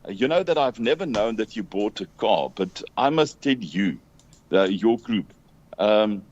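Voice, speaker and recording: A South African man talking earnestly as an interview guest, emphatic but halting in his delivery. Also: on the low side, animated, a little mellow, a little hiss or hum. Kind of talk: earnest conversational talk. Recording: dull lo-fi call audio.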